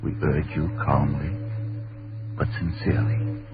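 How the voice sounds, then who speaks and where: A man's voice: very deep voice, level tone, a little slow. A man announcing on 1940s radio with a dramatic, emphatic delivery. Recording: tinny 1940s radio recording, faint music.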